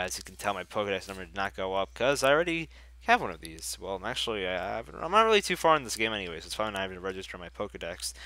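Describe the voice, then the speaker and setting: A congested teenage guy chatting loosely over gameplay, his voice bright and smooth with a lowish pitch. The sound is close and dry, with no room around it. He rambles, swears and circles back on himself. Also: no room sound. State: congested from a cold. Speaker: gaming YouTuber. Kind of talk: casual commentary over gameplay.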